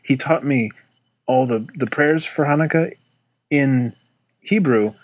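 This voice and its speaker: A man's voice: medium pitch, takes it slowly, no echo, highs cut off. A man co-hosting a casual chat, telling stories and laughing often. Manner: chatty storyteller, laughs often. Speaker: podcast co-host.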